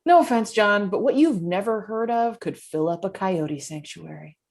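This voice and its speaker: A cocky, shady woman played by an actress wisecracks her way through a comedy script. Her pitch sits in a middle register but jumps around in a very lively way. She sounds like a brash small-time crook at a table read. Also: moderate pace, vocal fry. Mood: breezy, mocking. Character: shady, brash woman selling poison and racing coyotes.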